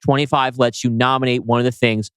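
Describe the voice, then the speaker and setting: A man's voice: mid-range voice, little pitch movement, fast talker, smooth, dry recording. A man co-hosting a podcast in a casual, chatty style. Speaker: podcast co-host. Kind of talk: casual chat.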